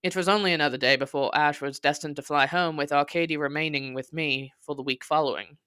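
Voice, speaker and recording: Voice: mid-range voice, steady tone, fast, sharp tone, clear, resonant tone, no echo, studio quality. A podcast host delivering dramatic scripted storytelling in a theatrical, sardonic, verbose manner with scornful emphasis.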